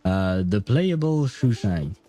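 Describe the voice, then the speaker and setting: Mellow-voiced man chatting on a livestream. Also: fairly low pitch, very animated, takes it slowly. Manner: rambling, thinking aloud, chuckles at own jokes. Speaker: livestream host. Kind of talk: casual gaming livestream chat.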